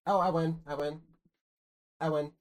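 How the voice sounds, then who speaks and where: A woman's voice: deep voice, steady tone, unhurried. A woman chatting casually while playing a game with friends, swearing, laughing and stumbling over her words. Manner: swearing, laughing, rambling restarts. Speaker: streamer. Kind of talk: casual gaming chat with friends.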